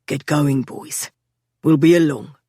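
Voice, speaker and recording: A woman reading an audiobook, voicing a tired, protective young man in a low, weary, gently teasing voice. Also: takes it slowly, breathy edge, dry recording, studio quality, exaggerated voice.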